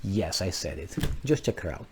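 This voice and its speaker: A man's voice: low-pitched, animated, normal speed, slight background noise. A man with a Romanian accent, originally from Transylvania, delivering an argumentative political monologue full of rhetorical questions. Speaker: commentary channel host.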